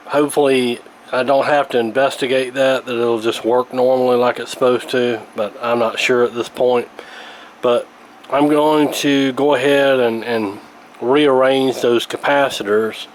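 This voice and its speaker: A man's voice: medium pitch, slight background noise, rough recording. A man giving casual hands-on radio repair commentary, hesitant and thinking aloud as he works. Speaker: radio repair hobbyist, host.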